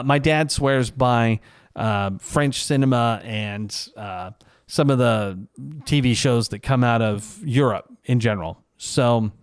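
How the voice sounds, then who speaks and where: A man's voice: mid-range voice, dry recording. Casual man rambling reflectively, close dry sound. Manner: rambling, conversational, self-deprecating. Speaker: vlogger. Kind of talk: casual reflective solo commentary.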